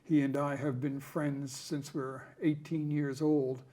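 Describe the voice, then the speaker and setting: An elderly man in his eighties hosts a relaxed interview with a friend. He talks conversationally in a slightly raised voice and breaks in with follow-up questions. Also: fairly high pitch, slow pace. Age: 80s. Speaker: host. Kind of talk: casual interview.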